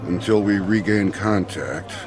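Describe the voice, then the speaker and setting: A man's voice: on the low side, measured pace, music in the background, lightly acted. A man playing a grave, matter-of-fact mission control officer in a science fiction drama.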